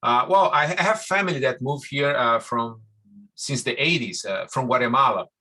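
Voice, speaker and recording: A middle-aged Brazilian man with a Brazilian accent, a musician guest speaking Portuguese-native English in a rambling, warm way with many restarts. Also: medium pitch, moderate pace. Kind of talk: casual interview.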